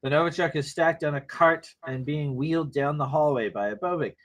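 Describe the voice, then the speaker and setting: Male narrator, slightly high register, reading action lines for an informal screenplay table read. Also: a little fast, a bit bright.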